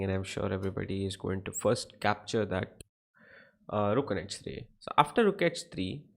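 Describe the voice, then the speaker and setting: Young indian english guy teaching chess on a stream, gravelly voice, keeps asking the chat stuff. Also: lowish voice. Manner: explanatory, asks viewers questions, greets chat by name. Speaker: chess coach. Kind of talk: live-streamed chess lesson.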